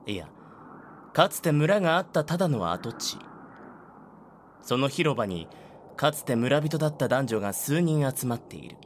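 Audio drama narrator: man, mid-pitched, expressive range, scripted storytelling, faint noise. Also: normal speed, pauses often.

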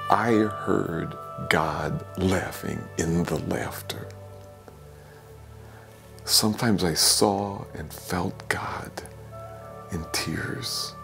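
An older man, a priest, giving a homily in a slow, gentle, reflective way, sometimes dropping to a soft whisper, from a small office. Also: deep voice, creaky. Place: small office with bookshelves, indoors.

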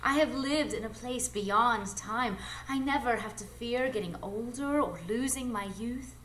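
A woman playing an alluring, ageless, mysterious adventuress in a live radio drama, speaking in a measured, coy, theatrical way. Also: fairly high pitch, a bit bright. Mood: coy, teasing. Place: live performance space before an audience. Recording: noisy live stage recording.

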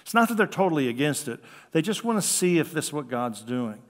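An older American man preaching a conversational sermon, with an animated delivery that acts out quoted remarks. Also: a little high, brisk. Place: church sanctuary at a lectern, indoors. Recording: reverberant hall.

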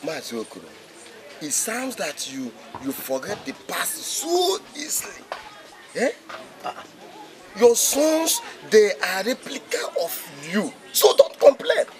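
An actor playing a middle-aged, stubborn and proud village friend who needles and teases, with a Nigerian accent, in a comedy film. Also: male voice, high-pitched, huge pitch swings, a little slow, very noisy, full character voice. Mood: needling. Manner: taunting, emphatic.

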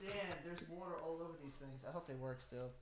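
Wisecracking young man, high voice. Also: quick pace, a crowd in the background. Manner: wisecracking, swears freely. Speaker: podcast co-host. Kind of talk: casual comedic chat. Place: home room, indoors. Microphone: studio mic on boom arm, wearing headphones, remote call.

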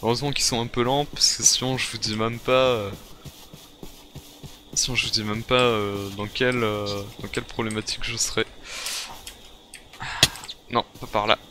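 A man's voice: lowish voice, level tone, moderate pace, long pauses. A man improvising in-character commentary on a survival game, muttering to himself and repeating his phrases. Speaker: gamer playing a zombie survival roleplay series.

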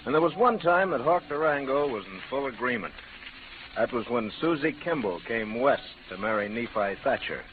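A man narrating a 1940s radio Western in a measured, storytelling manner. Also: mid-range voice, expressive, a little hiss or hum, close to an everyday voice. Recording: tinny old radio recording, music behind.

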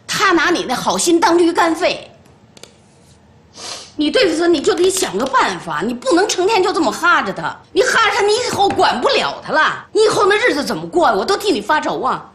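A woman's voice: high voice, huge pitch swings, a little fast, reverberant, slight background noise, full character voice. An actress playing a harsh, domineering older grandmother who nags and scolds sharply. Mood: lecturing. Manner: nagging, scolding, sharp tongue. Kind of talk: TV drama acting.

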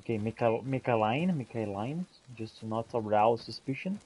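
A male gamer role-playing aloud as he plays, with a husky, mellow voice. His pitch moves around a lot and his delivery is theatrical. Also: animated, moderate pace. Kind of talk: in-character gameplay commentary.

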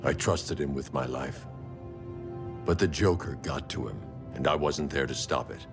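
An actor playing a grim, resolute masked vigilante leader in a video game, stern, terse and controlled. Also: male voice, very deep voice, normal speed, music in the background. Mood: somber regret. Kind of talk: cinematic video game acting.

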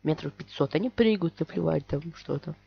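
A man's voice: on the high side, brisk, gravelly, breathy edge, highs cut off. A man with a cold giving rambling, mumbled let's-play commentary while he plays a game. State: has a cold, coughing. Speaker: gamer playing a video game. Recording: loud game music behind.

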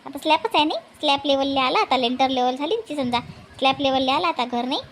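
A woman's voice: high voice, fast talker, a little hiss or hum, close to an everyday voice. A woman voicing chatty, homely Marathi village women in a family comedy cartoon. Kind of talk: animated family comedy dialogue.

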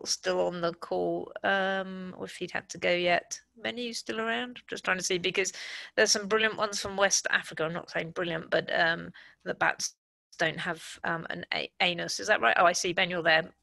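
A woman's voice: mid-range voice, gravelly, sharp tone, no echo. A woman moderating a casual webinar on a video call, speaking in a tentative, rambling way.

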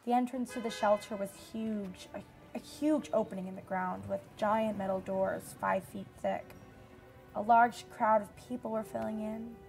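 A woman's voice: medium pitch, fairly even intonation, normal speed, creaky, a little mellow, soft background music, close to an everyday voice. A young woman playing a solemn nineteen-year-old disaster survivor giving testimony in a radio drama. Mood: somber, reflective. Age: young adult.

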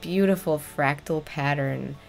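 Gravelly young woman co-hosting a podcast. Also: low-pitched, measured pace, soft background music. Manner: excitable, giggly, laid-back. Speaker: podcast co-host. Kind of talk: casual chat. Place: home room with green curtain.

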